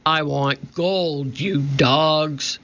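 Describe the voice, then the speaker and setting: Here is a huge, bullying pirate captain, played by a male storybook reader. He drags out his words slowly in a menacing, gravelly growl with a hard, forceful edge. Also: on the high side, lo-fi sound, exaggerated voice. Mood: gruff menace.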